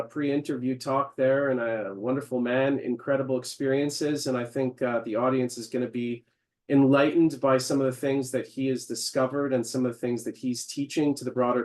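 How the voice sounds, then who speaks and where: A man hosting an interview over a video call, introducing his guest in a wordy, effusive way. He leans on fillers as he talks. His voice sits at an ordinary middle pitch. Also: fairly even intonation, brisk, a little mellow. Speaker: interview host.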